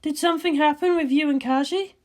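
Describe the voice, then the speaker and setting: A man voicing a cool, sensible woman scientist with a measured, dryly chiding manner in a fan fiction reading. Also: extremely high pitch, fairly even intonation, pitched above the performer's own voice. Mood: dry, amused.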